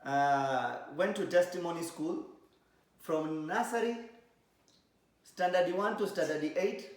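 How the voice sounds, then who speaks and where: A man's voice: fairly high pitch, slow. A man in his thirties from Kenya with a Kenyan accent, a DJ co-hosting a casual podcast, talking in a hesitant, easygoing way. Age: 30s. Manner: hesitant, many fillers, easygoing. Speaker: podcast co-host, DJ. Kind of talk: casual chat mixing in Swahili. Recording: very echoey room.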